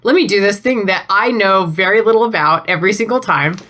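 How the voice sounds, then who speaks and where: A woman's voice: medium pitch, a little fast, fairly bright tone, slight background noise. A woman co-hosting a podcast, chatting casually and candidly with some mild swearing. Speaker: podcast co-host. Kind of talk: casual group chat.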